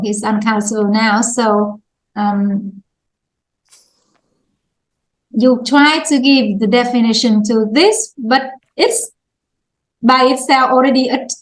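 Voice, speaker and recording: A woman's voice: on the high side, reverberant, rough recording. A woman English teacher with a Vietnamese accent, patiently prompting students in an online lesson and laughing often.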